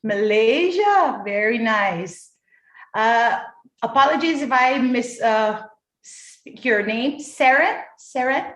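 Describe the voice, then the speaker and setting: Woman host of an online youth panel, encouraging, unhurried, roomy video-call sound. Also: a little high, animated, a bit bright. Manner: encouraging, chatty, drawn-out delivery. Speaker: moderator. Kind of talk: online forum moderation.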